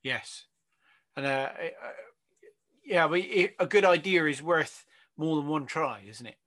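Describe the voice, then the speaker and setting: A man's voice: fairly high pitch, a little slow, a bit bright. A man hosting a casual interview over a video call, rambling, affirming and teasing as he goes. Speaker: interview host.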